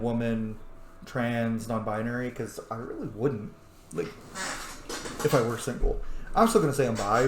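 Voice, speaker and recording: A man giving casual, chatty, sweary commentary as he plays a video game, thinking out loud. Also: mid-range voice. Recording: echoey room, faint background noise.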